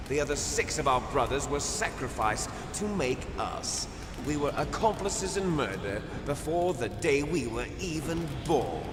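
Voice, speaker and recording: A grandiose villain from a video game, a bitter cloned soldier with a high-set, sweeping delivery. He lectures with menace and resentment in a long, echoing reverb. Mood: grave, revealing. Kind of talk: dramatic video game voice acting. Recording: echoey hall reverb over loud rumbling noise.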